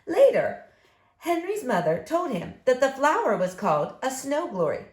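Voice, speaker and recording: A woman's voice: sits high, sing-song, normal speed. A woman reading a picture book aloud expressively to young listeners. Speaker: story reader for children. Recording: echoey room.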